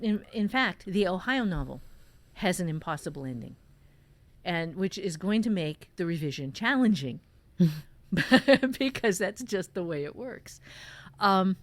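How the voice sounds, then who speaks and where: A woman hosting an informal talk as a writing teacher, emphatic and halting, often repeating words for stress. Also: mid-range voice, dry recording. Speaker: podcast host and fiction-writing teacher. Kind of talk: informal writing advice in conversation.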